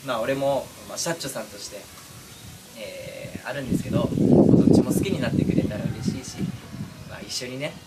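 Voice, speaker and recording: A man speaking as a streamer and voice actor, chatting casually and earnestly to his fans in an intimate, pausing way. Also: medium pitch, lively intonation. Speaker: voice actor and streamer addressing listeners. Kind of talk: casual, heartfelt chat to fans. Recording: distant mic, clearly noisy background.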